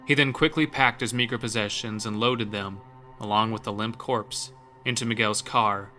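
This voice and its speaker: A man narrating a scripted horror story in a dramatic, measured storytelling manner. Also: on the low side, pushed and bright.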